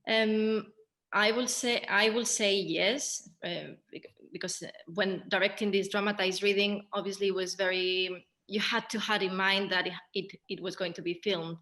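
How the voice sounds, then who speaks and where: Bright-voiced Spanish-accented woman, fairly even pitch. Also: normal speed. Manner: hesitant, thinking aloud. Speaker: theatre director. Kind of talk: post-show panel discussion.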